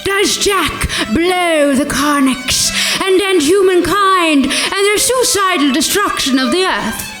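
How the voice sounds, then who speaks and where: Gleeful storyteller: very high, measured, theatrical suspense for radio comedy. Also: female voice, animated, heavily acted, poor audio. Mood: suspenseful. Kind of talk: comic radio play narration. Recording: live stage, music behind.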